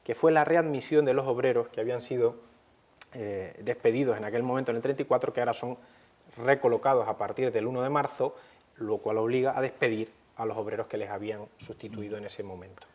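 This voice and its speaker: A man from gives an unscripted historical explanation at a middling pitch. His delivery is measured and didactic. He often hesitates and repeats a word before carrying on. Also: steady tone, darkish tone. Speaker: history commentator.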